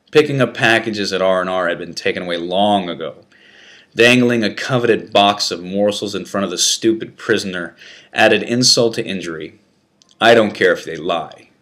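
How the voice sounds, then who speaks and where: A man narrating a written first-person memoir as a scripted reading, with a deliberate, dramatic delivery. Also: low-pitched, a bit bright.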